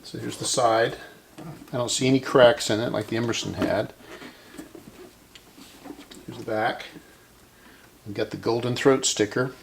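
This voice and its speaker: A man hosts a hands-on repair video in a creaky, gravelly voice at an average pitch. He talks casually, musing to himself as he works. A faint layer of noise sits behind him. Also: steady tone, a little slow, pauses often. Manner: musing, thinking aloud. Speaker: host of a vintage radio repair video. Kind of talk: casual hands-on narration while working.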